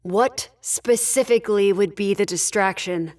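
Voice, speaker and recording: A woman's voice: mid-range voice, measured pace, darkish tone, natural voice. A woman playing a no-nonsense spaceship leader in a sci-fi audio drama, firm, decisive and curt. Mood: firm, impatient.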